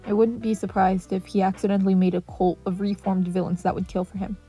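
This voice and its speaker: Adult male teacher, female reader, dry and deadpan, very mellow, over background music. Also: mid-range voice, quick pace, slightly rough. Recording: music playing under the voice.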